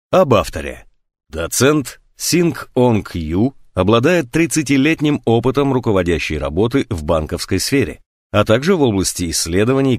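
Low-pitched man narrating an audiobook, lively intonation. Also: no echo, studio quality. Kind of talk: scripted nonfiction audiobook narration.

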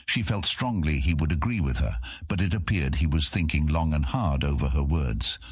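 A man reading scripted fantasy narration as an audiobook narrator, with a husky voice. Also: a bass voice, moderate pace, no echo, studio quality.

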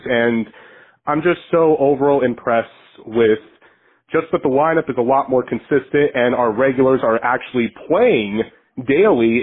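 Male fan caller from New Jersey, casual, rough-edged voice, muffled voicemail recording. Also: mid-range voice. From New Jersey, United States. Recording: dull, lo-fi phone voicemail.

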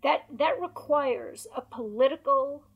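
A hoarse woman who has lost her voice, speaking emphatically as a researcher guest on a video call interview, through earbuds. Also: high-pitched, unhurried, phone-quality sound. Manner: emphatic, repeats points for stress. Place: bright room at home by a window. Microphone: wired earbuds on a video call.

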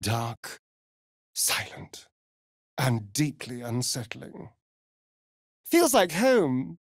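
An actor playing a sardonic, vain vampire rogue in a video game, with a theatrical, arch, drawling delivery. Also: male voice, medium pitch, very animated, drawn-out words, no echo, studio quality, exaggerated voice. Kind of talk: video game character voice lines.

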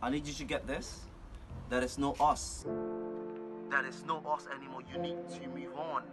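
An actor playing an exasperated, dismissive boyfriend abroad in a phone-call drama, speaking emphatically and impatiently. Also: male voice, medium pitch, moderate pace, over music, lightly acted. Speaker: actor in a comic relationship drama.

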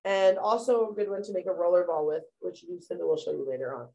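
Chatty mom talking about oils at home, lots of ums, easygoing. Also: female voice, on the low side, fast talker, gravelly, dark tone, low-quality recording. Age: young adult or middle-aged mother. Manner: chatty, many fillers, addresses viewers as "you guys". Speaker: essential oil presenter. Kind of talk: casual product presentation. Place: bathroom at home.

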